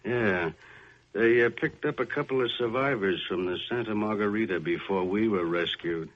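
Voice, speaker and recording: An actor playing a suspicious shipwreck survivor with a pointed, insinuating manner in an old-time radio drama. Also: male voice, on the low side, normal speed, close to an everyday voice. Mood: sly, needling. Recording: muffled old radio recording.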